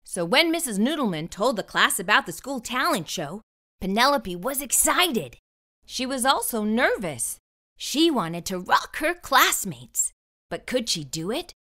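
A woman narrates a picture book for young children, with a lively swing in pitch and a bright voice. Her storytelling is expressive and deliberate. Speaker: storybook read-aloud host.

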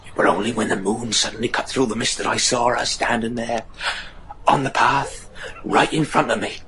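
A man playing a haunted, superstitious rural trapper in a gothic horror radio drama, with a rough, husky voice. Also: medium pitch, normal speed, pushed and bright, slight background noise, natural voice, poor audio. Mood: haunted recollection.

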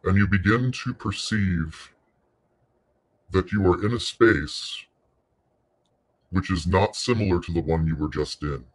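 A man leading a guided meditation in a soothing, unhurried way, pausing between short phrases. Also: sits low, husky.

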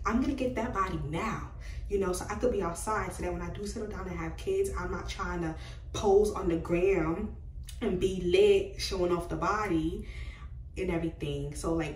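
A woman in her twenties talking casually to the camera, chatty and animated as she gestures with her hands. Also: on the low side, a little hiss or hum. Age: 20s. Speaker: beauty vlogger. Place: small room indoors by a doorway. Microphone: camera at a distance. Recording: roomy, slightly distant sound.

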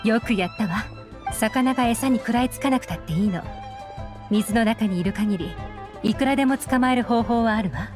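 A woman's voice: fairly high pitch, moderate pace, over music, close to an everyday voice. A woman voicing a composed, assured female intelligence officer in a Japanese video game. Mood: calm, assured.

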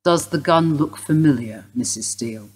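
A woman acting in a radio play as a stern police detective, formal, clipped and insistent, with a husky voice. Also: deep voice, normal speed, darkish tone. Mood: formal, probing. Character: stern, probing female police detective inspector.

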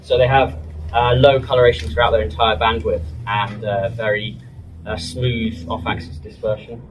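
A man's voice: fairly low pitch, flat delivery, normal speed. Distant male presenter in a noisy hall. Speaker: company presenter. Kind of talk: product presentation. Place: indoor trade show demo room. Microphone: camera some distance away. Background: clear room ambience noise.

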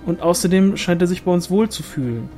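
Man as a defensive village woman, curt, audiobook dialogue, slightly high male pitch, faint music. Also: fairly quick, dark tone, close to an everyday voice.

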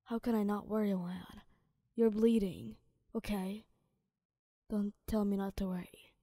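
Woman reading as a captive teen boy hero, hushed and pleading, Arabic-tinged accent, slow and dark. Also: medium pitch, fairly even intonation, no room sound, clean studio sound. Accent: Arabic-tinged English. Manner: whispered, pleading. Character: hot-tempered teenage boy hero, captive.

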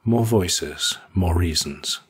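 A male narrator with a low, very husky and rough voice. He reads a scripted short story for an audiobook. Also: takes it slowly.

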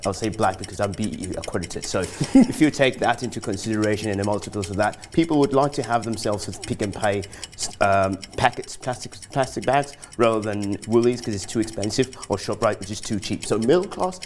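A middle-aged man pitching stocks off the cuff as a studio guest, hesitating and repeating phrases. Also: brisk, few pauses, slight background noise. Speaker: investment analyst, show guest. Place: TV studio, standing.

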